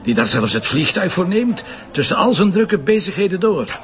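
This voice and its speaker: An actor playing a shrewd, sardonic police commissioner who questions probingly, with a Flemish accent, in a naturalistic radio drama. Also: male voice, high voice, sing-song, normal speed. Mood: wry, exasperated. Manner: probing, dryly sarcastic. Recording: slightly dull lo-fi audio, music behind.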